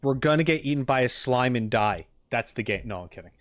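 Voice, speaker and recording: A man reacting casually on a livestream, wry and halting, often repeating his phrases. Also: mid-range voice, lively intonation. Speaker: streamer reacting to game audio.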